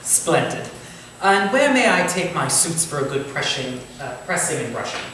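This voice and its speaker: An actor playing a genial, courtly Victorian gentleman writer with a formal, eloquent, theatrical way of talking, in a staged reading. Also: fairly high pitch, very animated, normal speed. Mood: polite. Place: indoor staged reading, distant microphone. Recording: very echoey room from far off, faint noise.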